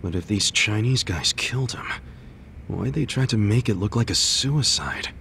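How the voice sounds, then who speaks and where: A man's voice: fairly low pitch, lively intonation, normal speed, vocal fry, bright, forceful tone, slight background noise, full character voice. An actor playing a plain-spoken, reassuring man in a dramatic video game. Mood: puzzled.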